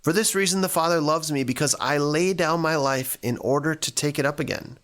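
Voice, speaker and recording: A man reading scripture and reflecting on it as a church devotional, speaking earnestly in deliberate, short phrases. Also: fairly high pitch, fairly bright tone. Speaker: church staff member giving a devotional.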